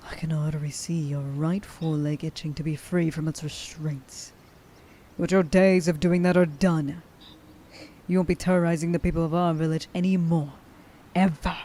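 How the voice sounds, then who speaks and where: A woman playing a stern, vengeful werewolf huntress in an ASMR roleplay, speaking to the listener with deliberate contempt and menace, often slipping into a breathy whisper. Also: low voice, fairly even intonation, dark tone. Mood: cold menace. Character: stern, vengeful female werewolf huntress, grieving a lost friend.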